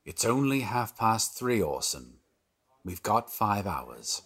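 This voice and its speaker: A man playing an exasperated, sardonic radio producer in an audio drama, with a husky voice and a pointed, dry delivery. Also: fairly low pitch, big pitch swings, slow, fairly bright tone, heavily acted.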